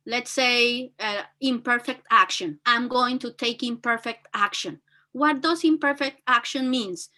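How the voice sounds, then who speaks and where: A woman with a very high, bright and forceful voice talks earnestly, her full of fillers and restarts. She speaks as a teacher and guest, giving motivational advice. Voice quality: very high-pitched voice. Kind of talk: motivational talk.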